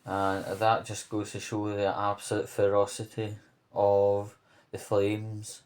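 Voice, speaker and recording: A man's voice: fairly low pitch, monotone, slow pace, darkish tone. A man with a Glasgow-area Scottish accent giving an earnest, hesitant, informal update to camera from his kitchen at home. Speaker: vlogger.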